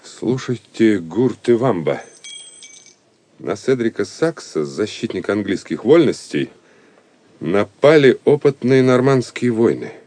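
An actor playing a bold, decisive outlaw archer chief in an audio drama, giving clipped, authoritative orders. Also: male voice, on the low side, very animated, unhurried, darkish tone. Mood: resolute.

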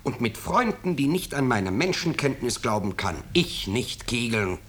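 An actor playing a smug, anxious middle-aged businessman in a 1950s radio drama, husky and insistently defending himself. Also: mid-range voice, very animated, measured pace, fairly bright tone, heavily acted. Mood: defensive, blustering. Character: smug, self-justifying, anxious bourgeois businessman.

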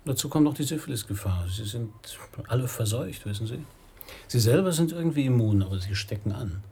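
An actor playing a frank, easygoing Danish painter in a German radio play, talking in a blunt, matter-of-fact way. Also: male voice, medium pitch, animated, fairly quick, warm tone, breathy edge. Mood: blunt, insinuating. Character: candid Danish painter living simply in Tunisia, frank, easygoing. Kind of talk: German radio play acting, intimate dialogue.

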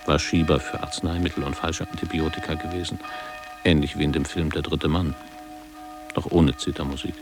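An actor playing a reflective ageing former boarding-school pupil, narrating his memories in a measured, literary way for a radio drama. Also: fairly even intonation, music in the background. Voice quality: deep, gravelly.

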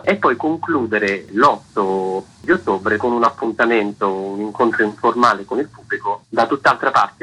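A man's voice: on the low side, bright, forceful tone, husky, rough recording. A man speaking as an interview guest, articulate and formal, in long winding sentences. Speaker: festival artistic director, interview guest. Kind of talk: prepared interview answers.

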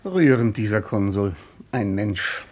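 Husky guy traveling, fed up and argumentative, clipped urgent lines, radio play. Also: on the low side, expressive, slow, darkish tone. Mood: wry. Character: insistent, exasperated German male traveller pleading with officials. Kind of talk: German public radio drama acting.

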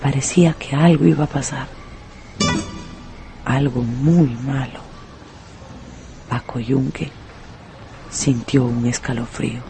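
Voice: medium pitch, fairly even intonation, slow, vocal fry, long pauses, over music. A narrator reading a scripted, dramatized story for a radio drama.

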